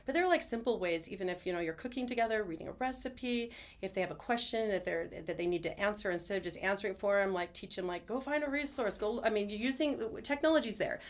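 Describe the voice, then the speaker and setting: A middle-aged woman speaks as a podcast guest in a conversational way. She is earnest and enthusiastic, with a medium pitch and a rapid, chatty flow. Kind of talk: conversational interview answers. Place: studio.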